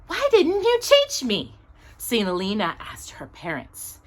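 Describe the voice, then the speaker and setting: Female storytime reader, husky tone, lively intonation, expressive emphasis for a picture book audience of children. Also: fairly high pitch. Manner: animated, emphatic storytelling with pauses on key words. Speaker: storytime host reading a children's book aloud.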